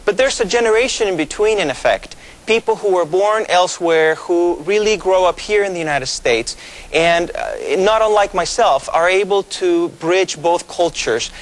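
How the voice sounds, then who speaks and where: A man in his thirties from Mexico, a pastor with Spanish as his first language, explaining things in a measured way with many fillers during a TV studio interview. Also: fairly high pitch, a bit bright, low-quality recording. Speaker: pastor, guest.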